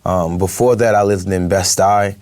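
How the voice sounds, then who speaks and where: Young New man, low voice, dry close sound, hesitant and chatty guest. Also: fairly even intonation, normal speed, darkish tone, no echo. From Brooklyn, New York. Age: young adult. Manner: hesitant, many fillers, laughs easily. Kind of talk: casual interview chat.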